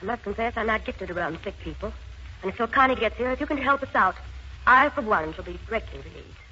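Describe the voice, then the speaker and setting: An actress in a 1940s radio drama playing a bitter, haughty upper-class wife with a scornful, clipped delivery. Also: female voice, medium pitch, lively intonation, quick pace, pushed and bright. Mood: coolly polite. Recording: muffled, noisy 1940s radio recording.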